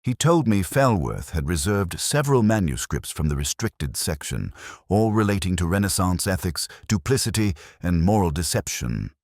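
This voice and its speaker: Very deep-voiced male detective-story narrator. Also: sing-song, no room sound, clean studio sound. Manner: literary, measured phrasing. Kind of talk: scripted first-person detective story narration.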